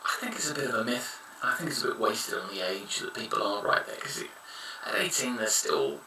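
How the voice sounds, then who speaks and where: A frank man in his thirties, talking casually and bluntly with a low, gravelly voice. His tone is sharp and bright. He sounds a little distant from the mic in an echoey room. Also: big pitch swings, a little fast, a little hiss or hum. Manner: frank, rambling, blunt opinions. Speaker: vlogger giving advice. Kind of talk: casual advice monologue.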